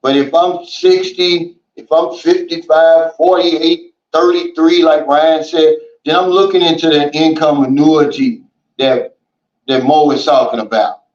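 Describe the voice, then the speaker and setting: A man hosts a casual livestream and reads viewer comments aloud. He talks in a relaxed, folksy way, with a slightly high voice. The sound is roomy, dull and lo-fi. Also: unhurried. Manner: relaxed, folksy, often says "man" and "you know". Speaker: livestream host.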